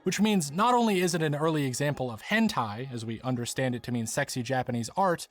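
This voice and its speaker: A man's voice: mid-range voice, lively intonation, fast talker, soft background music. A man reading scripted documentary narration for a video essay.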